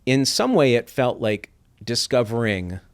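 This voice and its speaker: A man's voice: medium pitch, very animated, measured pace, clear, resonant tone. A middle-aged man from Iran, a podcast guest, telling his story in a thoughtful, reflective way and acting out quoted voices. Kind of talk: reflective interview talk.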